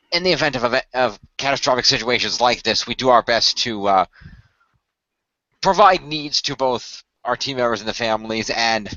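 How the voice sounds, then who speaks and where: A man playing a calm, formal mentor of a secret hero team in an amateur radio play, speaking in measured, hesitant, diplomatic phrases. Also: mid-range voice, no room sound, close to an everyday voice. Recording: dry, dull lo-fi voice track.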